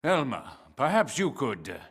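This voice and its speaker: A man playing a middle-aged director general in a video game, speaking in a measured, formal, authoritative way. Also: mid-range voice, wildly swooping pitch, fairly bright tone, breathy edge, heavily acted. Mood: formal, pleased. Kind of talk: video game cutscene acting.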